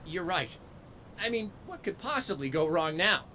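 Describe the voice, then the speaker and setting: A man's voice: sits high, sing-song, normal speed, noisy background. A man playing a modest, eager young robot soldier in a video game, earnest and wry. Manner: earnest, wry, self-deprecating.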